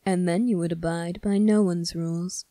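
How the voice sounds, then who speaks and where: Woman as a young male demon prince, low-pitched for a woman, deliberate, bitter, accusing, close dry sound, ASMR roleplay. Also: fairly even intonation, dark tone, no echo, lightly acted. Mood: measured, tempting. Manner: slow, deliberate, accusing, with breathy whispered phrases. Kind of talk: scripted ASMR roleplay monologue.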